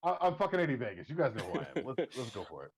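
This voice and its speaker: A man's voice: mid-range voice, sing-song, rapid-fire, a crowd in the background. A man hosting a casual chat show, rambling and joking with crude language. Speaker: podcast host.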